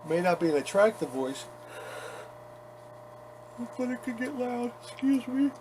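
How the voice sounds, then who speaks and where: A man's voice: very high voice, very animated, unhurried. A man talking casually as he works on a leather restoration, chatty and self-mocking. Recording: workshop noise behind the voice.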